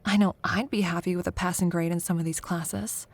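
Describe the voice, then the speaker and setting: A young woman playing a competitive college student in an intimate audio roleplay, a teasing, prickly but secretly fond rival who talks haltingly. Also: fairly low pitch, fairly even intonation. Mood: flustered, defensive. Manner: teasing, halting, flustered deflection.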